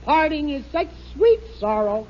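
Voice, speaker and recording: A man's voice: very high-pitched, very animated, measured pace, highs cut off, noisy background, heavily acted. An actor playing a bumbling, easily rattled family man who stammers and sputters, in a 1950 radio sitcom. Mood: joking. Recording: old radio broadcast with loud audience laughter.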